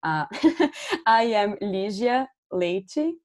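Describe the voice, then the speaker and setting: A woman's voice: mid-range voice, very animated, very slow. A woman with a Brazilian accent, a podcast guest and energy coach, talking in an earnest, reflective way. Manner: earnest, reflective, spiritual vocabulary. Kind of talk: casual podcast conversation.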